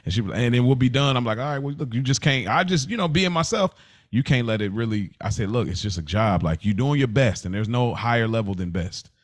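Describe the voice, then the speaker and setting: Male co-host, average pitch, loose conversational delivery full of slangy, emphatic repetition. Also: lively intonation, a little fast. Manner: colloquial, emphatic, repeats phrases; reflective, empathetic. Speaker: podcast co-host. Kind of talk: casual personal chat.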